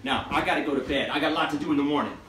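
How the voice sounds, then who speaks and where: A man's voice: on the high side, very fast, a bit bright, close to an everyday voice. A man acting a brash, folksy, skeptical fellow in a stage version of a radio play, speaking in exclamatory, colloquial turns. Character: brash, folksy, skeptical young workman living with mama. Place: theatre stage, picked up from afar. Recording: echoey, distant stage recording with faint noise.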